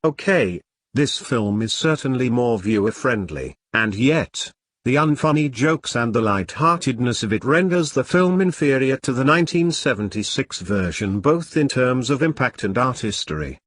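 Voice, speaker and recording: British guy doing sardonic review voiceover, average pitch, dry close recording, bit sweary. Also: lively intonation, moderate pace, a bit bright, dry recording. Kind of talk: scripted review narration.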